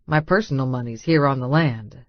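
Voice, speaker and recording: A man's voice: mid-range voice, moderate pace, no echo, muffled recording, close to an everyday voice. Man acting a blunt redneck billionaire, dry sound. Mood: matter-of-fact, cocky. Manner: folksy, blunt, colloquial. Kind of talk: audiobook character dialogue.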